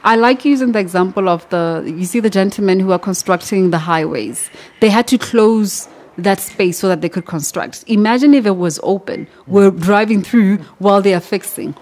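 A woman's voice: mid-range voice, moderate pace, a little mellow. A young woman, a clinic founder answering interview questions in a podcast studio, explaining with everyday examples and emphasis. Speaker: nutrition clinic founder, guest. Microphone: studio mic on boom arm.

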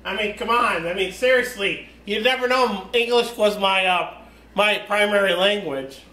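Bright, high-voiced American man, echoey room. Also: normal speed. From United States. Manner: rambling, chatty, wanders off topic. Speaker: let's play host. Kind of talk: casual game commentary.

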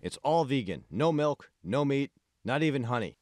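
A man's voice: medium pitch, animated, normal speed, no room sound, clean studio sound, pitched above the performer's own voice, lightly acted. A man voicing an eager, self-satisfied tech billionaire in an audiobook, with a showy salesman's manner. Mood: boastful.

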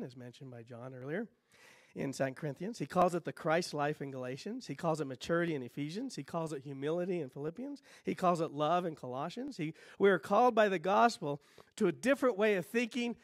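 A middle-aged man preaching a sermon as pastor in a church, teaching in a lively way and gesturing at the pulpit. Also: on the high side, no room sound, clean studio sound. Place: church sanctuary stage.

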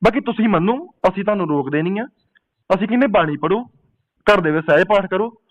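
Man, high voice, rapid delivery, insistent preacher, argumentative religious phone debate. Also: expressive. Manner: insistent, preaching, repeats rhetorical questions. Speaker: Sikh preacher taking a supporter's phone call. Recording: dull lo-fi phone call recording.

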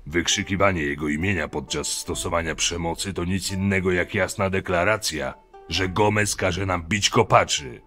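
A man's voice: low-pitched, fairly even intonation, moderate pace, a bit bright, soft background music. A man playing a stern, loyal, level-headed chief of camp guards in a fantasy audio drama, speaking firmly and matter-of-factly. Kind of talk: acted dialogue, fan audio drama.

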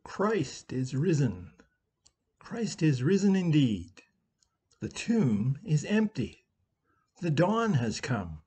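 A middle-aged man opens worship at a slow, measured pace, his voice slightly husky. He speaks close to a webcam in a room at home. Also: on the high side, big pitch swings, mellow. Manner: measured, unhurried. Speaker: worship leader. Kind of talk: slow liturgical welcome. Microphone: webcam at close range.

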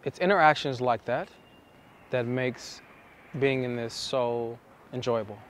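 A young man explaining to camera as a dating coach, with emphatic, deliberate phrasing and pauses, recorded outside on a city street. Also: mid-range voice, a bit bright. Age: young adult. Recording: outdoor city street with traffic noise.

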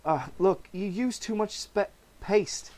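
A man's voice: high-pitched, slow, a little mellow, voice pushed up. A man voicing a caring, fussy young woman chiding her father in a comic-book reading. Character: caring, nagging young adult daughter.

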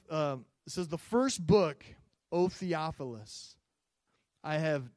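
Man preaching on a handheld mic. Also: no room sound. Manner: conversational preaching with many fillers, booming on key points, hushed in prayer. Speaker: pastor. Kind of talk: sermon. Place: church stage, medium-sized room.

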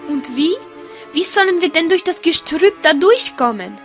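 A woman's voice: very high-pitched, lively intonation, normal speed, fairly bright tone, faint music behind, heavily acted. A woman playing an uneasy, questioning young adventurer in a fantasy audio drama. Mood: doubtful. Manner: questioning, doubtful.

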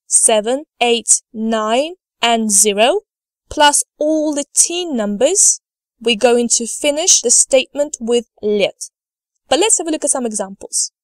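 A woman's voice: fairly high pitch, no room sound, studio quality. A woman teacher narrating a scripted lesson, enunciating carefully in an instructive, encouraging manner. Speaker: language teacher.

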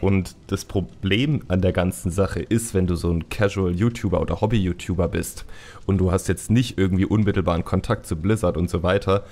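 A man's voice: low voice, steady tone, a little fast, a little mellow. A man hosting a podcast in casual, candid conversation, speaking colloquially and repeating words for emphasis. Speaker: podcast host and YouTuber.

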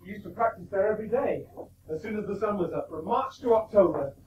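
Quick, high-voiced eager young man. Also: animated, darkish tone, lo-fi sound. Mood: eager, proud. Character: eager young Fred, youngest brother, proud and chatty. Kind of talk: 1980s radio play acting. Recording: noisy restored radio broadcast tape.

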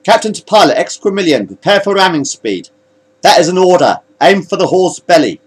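A man's voice: fairly high pitch, very animated, fast, husky, pitched above the performer's own voice. Grey-haired male space captain played by a male reader, commanding and irascible, clipped military orders, swooping intonation. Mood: forceful.

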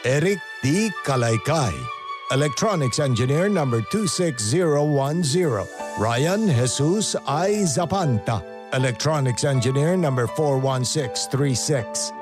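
A man reading a formal scripted station announcement in a measured, carefully enunciated way. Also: medium pitch, animated. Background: background music.

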